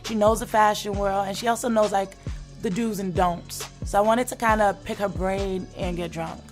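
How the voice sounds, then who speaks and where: A woman's voice: mid-range voice, fairly even intonation, moderate pace. Chatty New York woman over music. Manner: chatty, casual, laughs easily. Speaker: model. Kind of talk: reality show chat and confessional. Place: bar or restaurant.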